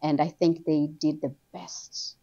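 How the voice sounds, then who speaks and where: A middle-aged woman from Somaliland, raised in Kenya and England, telling her story as an author guest in an animated, conversational way. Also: unhurried, vocal fry. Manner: animated storytelling, rises high when excited. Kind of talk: conversational interview. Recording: dull lo-fi sound.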